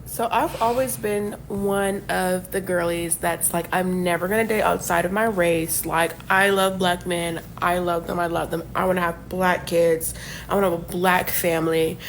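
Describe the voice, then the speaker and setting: A young woman in her twenties rambles through a dating story, piling up fillers and asides. She sounds casual and unscripted. A faint rumble sits under her voice. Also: fairly even intonation, normal speed, rough recording. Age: 20s. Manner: chatty, many fillers and asides.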